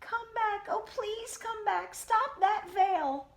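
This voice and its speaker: A woman voicing Lottie, a cheerful young hen in a storybook, with a bright playful tone. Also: extremely high pitch, slow, pitched above the performer's own voice, full character voice.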